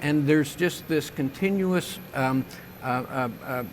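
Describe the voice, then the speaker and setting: An older man, a company president, answering interview questions on a conference stage in a measured, engineer-like way with frequent ums and restarts. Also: fairly high pitch, a little hiss or hum. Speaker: wind turbine company president.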